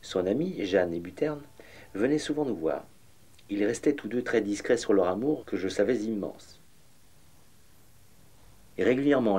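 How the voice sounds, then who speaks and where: Actor as husky, reminiscing male painter. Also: lowish voice, dark tone. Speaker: voice-over reading the painter's memoirs in a documentary. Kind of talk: scripted first-person narration.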